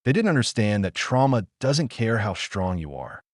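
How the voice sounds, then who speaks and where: A man performing a dramatic audio-play monologue as a wounded, solemn, defiant trauma survivor, speaking deliberately and emphatically with dramatic pauses. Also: on the low side, big pitch swings, dry recording, studio quality. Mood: pained, confiding.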